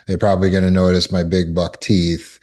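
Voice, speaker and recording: A man teaching a self-help lesson as a recovery coach, speaking slowly and deliberately and repeating affirmations. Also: sits low, level tone, mellow.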